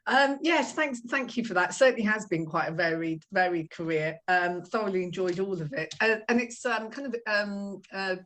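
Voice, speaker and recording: A middle-aged woman being interviewed, talking casually with many pauses and filler sounds. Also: mid-range voice, fairly bright tone. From Iran. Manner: hesitant, many ums, laughs easily. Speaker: guest.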